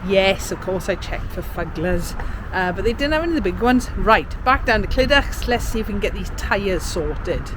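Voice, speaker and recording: A woman's voice: mid-range voice, expressive, moderate pace. A woman, chatting casually and colloquially as she narrates her day for a vlog. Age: 50s. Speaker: vlogger.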